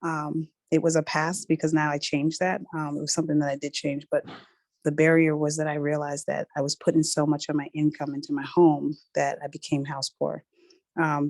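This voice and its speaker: Low-voiced eager middle-aged woman. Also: little pitch movement, moderate pace, darkish tone, dry recording. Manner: eager, laughs often. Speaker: workshop participant.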